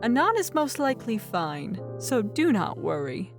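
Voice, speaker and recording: A woman plays a regal cartoon pony princess, benevolent and gently humorous. She speaks slowly in a fairly high, lilting voice, with music clearly behind her. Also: very animated, exaggerated voice. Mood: calm, reassuring. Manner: stately, measured, formal. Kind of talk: cartoon fan audio drama. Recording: clear background music under voice.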